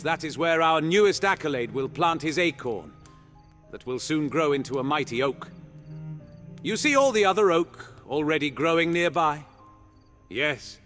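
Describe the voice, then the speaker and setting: A man's voice: a little high, a bit bright. A man playing a roguish, irreverent monster hunter knight in a fantasy audio roleplay, talking in a theatrical, conspiratorial way. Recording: long hall reverb under music.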